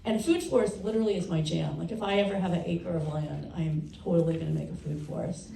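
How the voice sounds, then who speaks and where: A woman's voice: on the low side, fast talker, vocal fry, dark tone. A woman speaking as an author on a panel, explaining things to an audience in an informal, chatty way. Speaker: panelist, children's book author. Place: panel session in a room. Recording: roomy, distant mic, faint noise.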